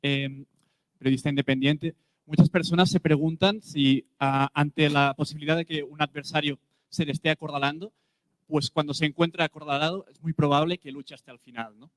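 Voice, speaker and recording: A man's voice: fairly high pitch, steady tone, fairly quick, dry recording. A man from Catalonia with a Catalan accent, an independent journalist putting a question at a press conference. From Catalonia, Spain.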